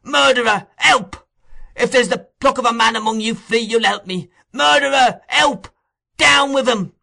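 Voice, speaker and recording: A man voicing a terrified, defiant young boy in a storybook reading, with shrill, frantic exclamations. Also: lively intonation, measured pace, bright, forceful tone, slightly rough, exaggerated voice. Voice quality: shrill, shrieking.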